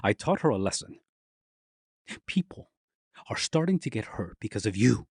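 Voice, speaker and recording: A man's voice: lowish voice, sing-song, darkish tone, slightly rough, dry recording, studio quality, heavily acted. A man playing a menacing, controlling figure in an audio drama, with a condescending, coldly threatening manner. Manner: deliberate, condescending, sinister.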